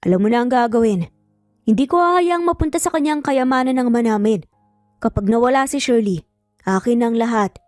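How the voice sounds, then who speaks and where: A woman's voice: on the high side, brisk, darkish tone, dry recording, clean studio sound, faint music behind, close to an everyday voice. A woman voicing a greedy, threatening uncle who snarls his lines in an audio story. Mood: cold, scheming. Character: greedy, menacing uncle plotting against his sister. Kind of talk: Tagalog audio story dialogue.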